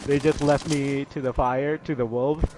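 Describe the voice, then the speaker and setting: A man's voice: medium pitch, fairly even intonation, normal speed, darkish tone. A young man chatting casually on a stream, rambling with lots of fillers and restarts. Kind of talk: casual chat. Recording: game gunfire noise behind the voice. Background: video game gunfire and clicks.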